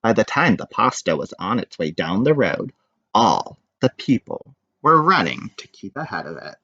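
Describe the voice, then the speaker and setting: A man giving an animated, expressive read-aloud of a children's picture book, with informal asides. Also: fairly low pitch, normal speed, highs cut off, a little hiss or hum.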